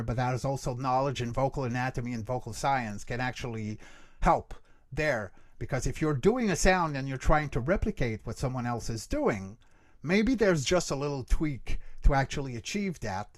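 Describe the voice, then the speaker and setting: A man's voice: medium pitch, lively intonation, normal speed. A man teaching in an online workshop, explaining emphatically and often checking that listeners follow. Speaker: guest creature voice instructor.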